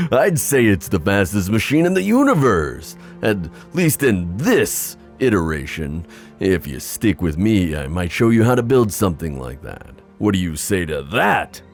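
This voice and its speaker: A man playing a playful, scheming, boastful sea god in an ASMR fantasy roleplay, with theatrical, teasing, drawn-out phrasing. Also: on the low side. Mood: boastful, excited.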